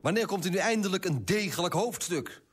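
An actor playing a pompous, self-righteous middle-aged merchant in a radio play, husky and indignant. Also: male voice, sits high, expressive, brisk, music in the background.

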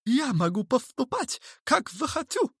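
Storybook coward played by a man: high, shrill, whining, full of spluttering self-importance. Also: animated, moderate pace, fairly bright tone, dry recording, clean studio sound. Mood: indignant, pompous.

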